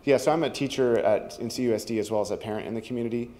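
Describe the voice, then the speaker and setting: A man speaking loudly and rambling at public comment in a city meeting, as a teacher and parent. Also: mid-range voice, rapid-fire. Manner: loud, rambling, with restarts. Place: community hall meeting room.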